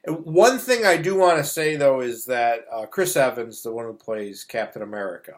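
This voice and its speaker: A man's voice: medium pitch, moderate pace. Blunt, exasperated man ranting about movies. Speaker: host of a movie review show. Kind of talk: casual opinionated movie rant.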